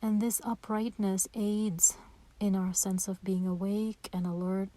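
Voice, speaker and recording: Soft, mellow woman guiding meditation. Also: mid-range voice, level tone. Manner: gentle, slow and soothing, with pauses. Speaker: meditation guide. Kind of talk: guided meditation instruction.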